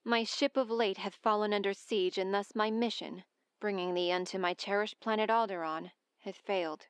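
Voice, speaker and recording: A woman's voice: medium pitch, fairly even intonation, moderate pace, smooth, no echo, close to an everyday voice. A woman playing a noble young princess, formal and earnestly pleading, in a Shakespearean dramatic reading.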